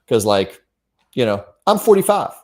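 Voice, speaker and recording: A man in his forties co-hosting a podcast in casual conversation about his field, halting as he thinks aloud. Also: mid-range voice, very animated, darkish tone. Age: 40s. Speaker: podcast co-host. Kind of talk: casual fitness podcast discussion.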